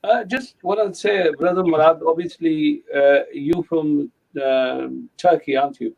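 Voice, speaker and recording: A man's voice: on the high side, fairly even intonation, unhurried, creaky, distant mic, narrow, tinny audio. A male host asking questions hesitantly with many fillers.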